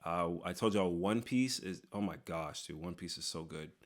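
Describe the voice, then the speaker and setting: Joking young man with a lowish voice. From Texas, USA. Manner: quick, joking, sarcastic asides. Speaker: YouTuber host. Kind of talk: casual Q&A reading comments.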